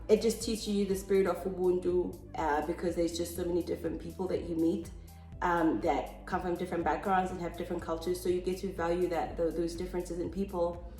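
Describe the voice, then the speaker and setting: Chatty South African woman, low voice. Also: darkish tone, roomy sound. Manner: chatty and hesitant, with frequent ums. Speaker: mother and family vlogger. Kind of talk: casual family Q&A chat.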